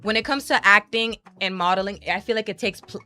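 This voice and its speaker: A woman's voice: mid-range voice, fairly quick, sharp tone. A woman hosting a live talk show, upbeat and chatty, with faint music behind her. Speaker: host. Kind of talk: casual live show chat.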